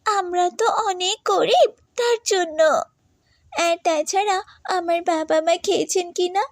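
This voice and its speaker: Lady doing a kid girl for a moral cartoon, super high, sweet and dutiful, earnest, close dry sound. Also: no echo. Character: devout, earnest young village girl, kind and dutiful. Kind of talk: children's moral cartoon dubbing.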